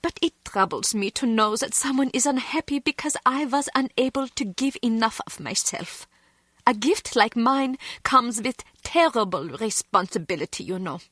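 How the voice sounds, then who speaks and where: A vain German cabaret villainess for a video game. Her high voice sweeps up and down as she talks with grand, condescending menace. The sound is close and dry. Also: expressive, normal speed, fairly bright tone, no room sound. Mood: grandiose, aggrieved. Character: vain, menacing German villainess and self-adoring club singer.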